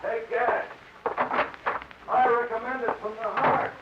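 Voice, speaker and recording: An actor playing a seedy, over-familiar private investigator in an old radio crime drama, chatty, halting and self-mocking, with an American accent. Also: male voice, extremely high pitch, flat delivery. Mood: jokey, wheedling. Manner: wheedling, chatty, repetitive and halting. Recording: dull old radio recording, faint noise.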